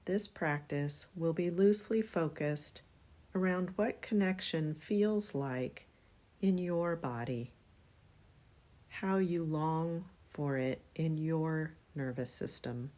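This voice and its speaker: A woman guiding a relaxation meditation in a soothing, unhurried manner, leaving long pauses between phrases. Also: deep voice, warm tone. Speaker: yoga nidra meditation guide.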